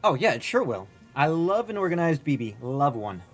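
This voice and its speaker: An actor playing a chatty, eager, awkwardly chummy bank clerk in a comedic audio drama, chirpy and casual. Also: male voice, a little high, sing-song, moderate pace, soft background music. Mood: cheery, eager. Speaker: bank employee.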